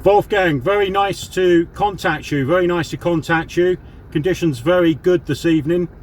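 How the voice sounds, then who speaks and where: A man's voice: high voice, normal speed, slight background noise. Chatty English radio hobbyist man. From southern England. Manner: chatty, rambling, radio-operator jargon. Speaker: CB radio hobbyist and video host. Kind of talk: casual radio chat and how-to demo.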